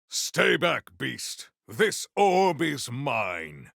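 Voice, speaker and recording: A male actor voicing a proud, potent warrior in a video game, with formal, grandiose, deadpan delivery. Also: medium pitch, creaky, no room sound, studio quality, full character voice. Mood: brisk, resolute. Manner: formal, stilted, grandiose diction. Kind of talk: scripted video game character lines.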